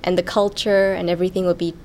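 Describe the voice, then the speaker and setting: A young woman in a student interview, speaking slowly and haltingly with many "um" pauses. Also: fairly low pitch, little pitch movement, a little mellow, slight background noise. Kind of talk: casual interview answers.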